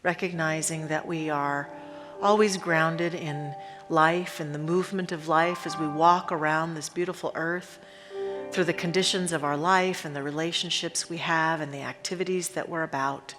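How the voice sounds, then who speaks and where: A woman leading a guided meditation in a reverent, unhurried manner, pausing deliberately between short phrases. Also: deep voice, steady tone. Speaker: spiritual leader guiding a meditation. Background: instrumental music.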